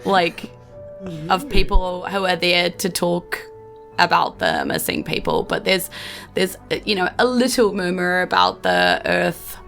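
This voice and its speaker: A woman's voice: fairly low pitch, very animated, measured pace, gravelly, fairly bright tone, soft background music. A woman with a New Zealand accent running a tabletop game as game master, narrating loosely with plenty of "like" and "sort of".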